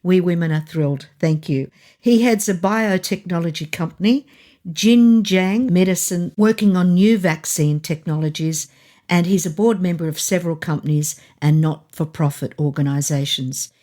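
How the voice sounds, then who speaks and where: A woman's voice: fairly low pitch, normal speed, warm tone. A woman hosting an interview, reading out a guest's introduction and asking chatty questions. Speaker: host.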